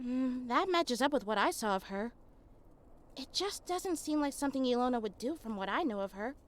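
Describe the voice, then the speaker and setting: A woman's voice: high voice, animated, moderate pace. A woman voicing an earnest, reasoning young woman in a fantasy visual novel. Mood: thoughtful, assured.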